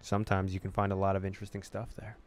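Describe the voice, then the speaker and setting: A man's voice: low voice, completely flat delivery, racing. A man hosting a gaming video, reading on-screen story text aloud in a dramatic way with casual reactions.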